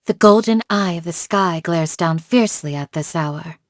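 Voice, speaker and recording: A woman playing a calm, devoted village guardian in a video game, speaking in a measured, formal, gently solemn way. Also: lowish voice, dry recording, clean studio sound, close to an everyday voice. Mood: musing. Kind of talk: scripted game character voice lines.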